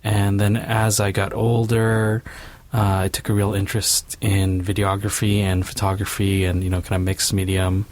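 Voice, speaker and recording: A man's voice: on the low side, completely flat delivery, moderate pace, darkish tone, no echo. A middle-aged man with a Canadian accent, talking casually as an interview guest in a rambling, hesitant way peppered with "you know". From Vancouver, Canada. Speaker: podcast guest, filmmaker.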